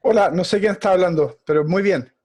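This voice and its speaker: A man's voice: high-pitched, brisk, darkish tone, breathy edge, no room sound. A man with a Chilean accent, a physician guest talking semi-formally on a video call, hesitating and repeating words. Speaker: guest speaker, emergency physician.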